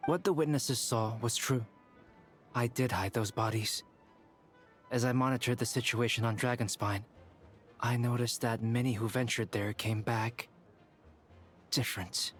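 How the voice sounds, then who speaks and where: A man voicing a composed, clinical young alchemist in a video game, measured and formal. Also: mid-range voice, steady tone, lightly acted. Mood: grave explaining. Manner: measured, matter-of-fact, formal. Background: game music.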